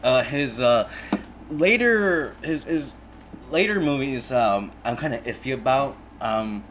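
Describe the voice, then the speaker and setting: A man's voice: medium pitch, animated, takes it slowly, fairly bright tone, muffled recording, a little hiss or hum. A young man hosting his own podcast alone, rambling casually with lots of fillers, restarts and swearing, recorded on an earbud mic. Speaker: podcast host. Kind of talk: casual solo podcast chat.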